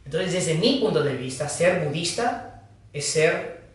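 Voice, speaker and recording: A man speaks in slow, halting phrases, teaching the audience as a Buddhist teacher. His voice sits fairly high, and he hesitates often between ideas. The sound comes from a distance and rings through a very echoey hall, with faint noise behind it. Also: lively intonation. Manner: slow, halting, with pauses and fillers. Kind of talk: dharma talk. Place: hall of a foundation. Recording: echoey room, distant mic.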